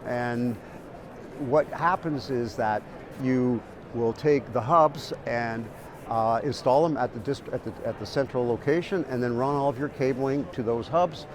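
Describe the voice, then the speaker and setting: A man's voice: mid-range voice, unhurried, a crowd in the background. A man giving explanatory interview answers as an industry expert, often repeating words as he goes.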